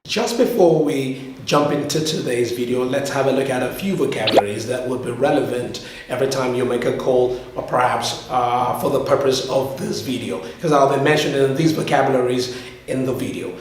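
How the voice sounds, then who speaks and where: Very echoey room, male teacher, medium pitch, English lesson delivery, didactic, repeats key words for learners. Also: normal speed, background noise. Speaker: English teacher and video host. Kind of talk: language lesson to camera.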